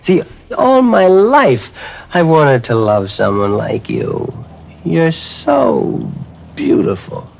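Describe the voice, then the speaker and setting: An American actor playing a lonely, unhinged window dresser who kills, halting and stammering, in 1950s radio horror style. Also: male voice, medium pitch, very animated, vocal fry, full character voice. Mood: lovesick, pleading. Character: lonely, unhinged night-shift window dresser turned killer. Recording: muffled vintage radio, music underneath.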